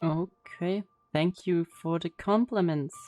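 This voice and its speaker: A woman streams a game with casual reactions and read-aloud dialogue choices. Her voice is on the low side and slow-paced, with faint game music behind it. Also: darkish tone. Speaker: gaming streamer.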